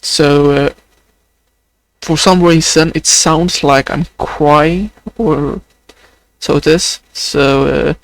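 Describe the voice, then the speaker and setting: A man's voice: fairly high pitch, steady tone, creaky. A young man with a Swedish accent talking unscripted in a video diary, hesitant and full of fillers, a voice people say sounds close to crying. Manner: hesitant, many fillers, slow and halting. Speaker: video journal creator.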